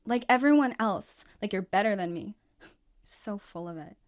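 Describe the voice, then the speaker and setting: A woman's voice: mid-range voice, lively intonation, moderate pace, close to an everyday voice. A young woman acting in a naturalistic radio drama as a volatile, defensive drug user. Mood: defensive, angry. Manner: combative, defensive, accusatory.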